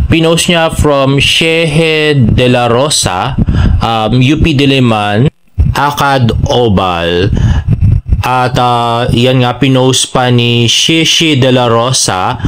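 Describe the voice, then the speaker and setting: A man's voice: medium pitch, slight background noise, low-quality recording. A man with a Filipino accent hosting a fan vlog, chatting casually as he reads out social media posts and comments on them. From Philippines. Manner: chatty, mixes Tagalog and English. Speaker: fan vlogger and host.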